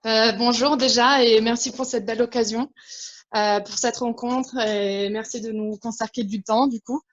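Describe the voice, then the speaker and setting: A woman with an Italian accent asking a question on a video call, polite and halting with many fillers. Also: fairly high pitch, fairly even intonation, muffled recording. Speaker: audience member asking a question.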